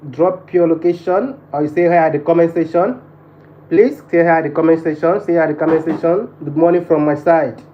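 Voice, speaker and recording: A man's voice: on the high side, brisk. A man from Nigeria with a Nigerian accent hosting a casual livestream, addressing viewers and repeating his phrases insistently. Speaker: live stream host. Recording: dull lo-fi live stream, background noise.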